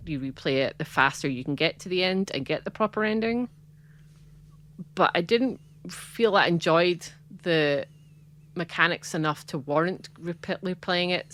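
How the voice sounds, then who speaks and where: Scottish woman, low voice, rambly casual podcast co-host. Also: fairly bright tone. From Scotland. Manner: chatty and rambling, frequent "like" and laughs. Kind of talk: casual chat.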